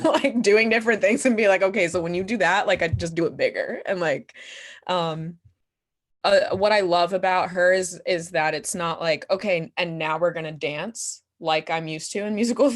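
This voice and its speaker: A woman guest performer answering questions in a casual, expressive, slangy and giggly way at a post-show talkback. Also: fairly low pitch.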